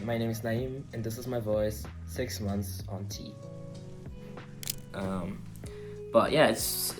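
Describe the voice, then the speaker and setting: Low-voiced young man chatting casually. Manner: relaxed, rambling, many fillers and restarts. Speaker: vlogger. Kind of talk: casual personal update to camera. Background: soft guitar music.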